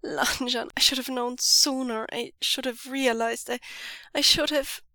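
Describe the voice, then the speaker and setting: A woman's voice: fairly high pitch, lively intonation, unhurried, pushed and bright, no room sound, full character voice. A woman doing a tearful, guilt-ridden young man. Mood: tearful pleading. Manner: pleading and raised. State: tearful, near sobbing.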